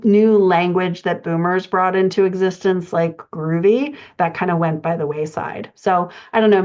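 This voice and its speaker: Podcast coach: American woman, middle-aged, unhurried delivery that feels its way along, informal teaching, slightly lo-fi sound. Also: on the low side, darkish tone, clear, resonant tone. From United States. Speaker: writing coach. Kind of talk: informal podcast lesson, explanatory talk.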